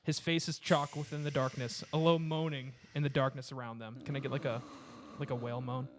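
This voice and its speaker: A man's voice: mid-range voice, flat delivery, brisk. A man hosting and narrating a comedy table read, moving between casual directions and scripted narration. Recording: background noise, occasional clicks.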